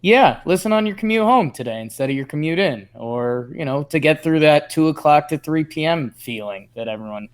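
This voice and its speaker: A man's voice: fairly high pitch, animated, brisk, hardly any pauses. Laid-back chatting male podcast co-host. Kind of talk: casual sports chat.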